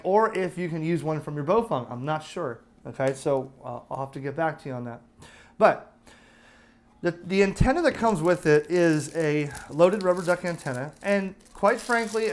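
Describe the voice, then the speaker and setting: An American man giving a casual, unscripted gadget review, chatting and explaining as he goes and often checking in with the listener. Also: on the high side. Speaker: product reviewer.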